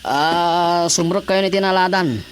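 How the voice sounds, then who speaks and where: An actress playing a protective, feisty young daughter with an emphatic, direct radio drama delivery. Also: female voice, lowish voice, a little slow, close to an everyday voice. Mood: wary, direct. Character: protective, feisty grown daughter, quick to defend mother. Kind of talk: Ilocano radio drama acting. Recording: steady background noise.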